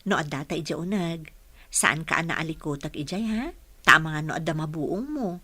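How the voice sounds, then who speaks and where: A woman with an Ilocano accent playing a patient, affectionate working mother in a radio drama, polite and gently instructing. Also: sits low, fairly quick.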